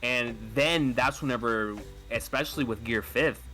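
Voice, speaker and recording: A man's voice: mid-range voice, normal speed, pushed and bright. A man in a casual podcast chat, laying out his ideas eagerly and emphatically.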